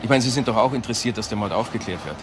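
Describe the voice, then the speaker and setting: An actor playing a probing, matter-of-fact police investigator in a crime radio drama. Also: male voice, on the low side, rapid-fire, a crowd in the background, noisy background, natural voice.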